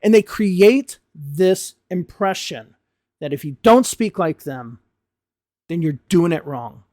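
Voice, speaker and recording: A man's voice: on the high side, lively intonation, takes it slowly, a little mellow, no echo, clean studio sound. An American man, an English teacher, giving a frank, impassioned talk to his learners with emphatic stresses. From United States. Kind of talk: talking-head video lesson.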